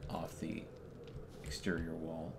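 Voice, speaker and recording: A man's voice: a bass voice, takes it slowly, gravelly. A man giving casual live commentary and chatting while he streams a game. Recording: noisy gaming setup with keyboard clatter. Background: keyboard typing, steady background noise.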